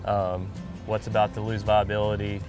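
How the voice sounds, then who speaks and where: A man's voice: fairly low pitch, little pitch movement, normal speed. A young man who works as a garden guide, giving brief, matter-of-fact answers outdoors. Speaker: garden and seed program guide. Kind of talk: casual interview answers. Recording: music bed underneath.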